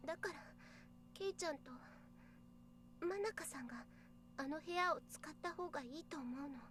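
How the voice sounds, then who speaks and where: A woman voicing a gentle, anxious, caring young girl in a visual novel, earnest, hesitant and pleading. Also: high-pitched, fast. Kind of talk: Japanese visual novel voice acting.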